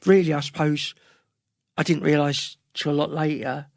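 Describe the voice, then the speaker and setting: A man's voice: a little high, flat delivery, normal speed, creaky, no room sound, studio quality. A middle-aged man with a London accent, a guest musician telling rambling anecdotes in a casual interview. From London, England.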